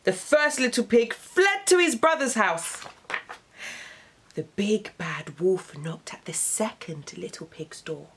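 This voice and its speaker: Slow, animated young woman storyteller. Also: medium pitch, slight background noise. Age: young adult. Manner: dramatic, deliberate storytelling emphasis. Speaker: radio host, storyteller. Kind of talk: expressive children's storybook narration.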